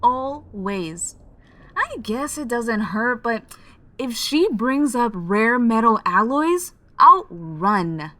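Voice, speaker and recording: An actress playing a cheerful young twin, chatty and playful. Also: female voice, on the high side, very animated, takes it slowly, pushed and bright, dry recording, full character voice. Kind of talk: audio drama acting.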